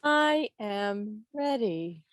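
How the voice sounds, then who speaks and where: A woman's voice: mid-range voice, lively intonation, very slow. A woman hosting a quiz over a video call in a playful, teasing, theatrical way. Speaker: quiz host. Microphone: video call microphone.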